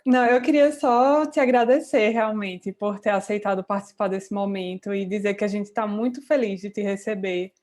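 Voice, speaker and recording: A woman's voice: mid-range voice, steady tone, moderate pace, hardly any pauses, a little mellow. A woman coordinator thanking a guest in a polite, grateful way, with hesitant fillers. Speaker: course coordinator. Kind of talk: brief welcome on a video call.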